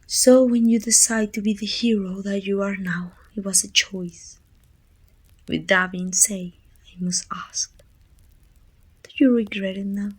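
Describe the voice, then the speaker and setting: A woman from Mexico playing a weary, bittersweet supervillain in an ASMR roleplay, breathy and often near a whisper, with slow, deliberate phrasing. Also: medium pitch, steady tone. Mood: solemn, probing. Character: weary, bittersweet female supervillain confronting a dying rival.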